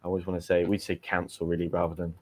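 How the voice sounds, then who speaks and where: A man with a British accent, talking through a relaxed livestream in a fairly low voice. He chats easily with viewers and stops now and then to correct their word choices, the way a language teacher would. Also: very fast. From Britain. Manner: chatty, corrects learners' vocabulary. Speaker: English teacher hosting a livestream. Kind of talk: casual livestream chat. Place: home in Tenerife.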